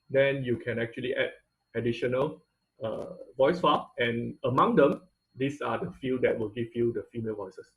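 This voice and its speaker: A man's voice: medium pitch, gravelly, poor audio. A man teaching an online robotics class, explaining code step by step with frequent fillers and "okay?" checks. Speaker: robotics instructor. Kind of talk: online class lecture with screen share.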